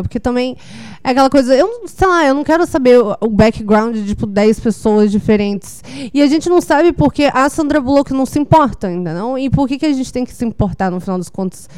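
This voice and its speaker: A woman's voice: fairly high pitch, a little mellow, clean tone, no echo. A Brazilian woman chatting casually as a panelist in a film discussion, informal and slangy, rambling and often correcting herself. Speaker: podcast panelist.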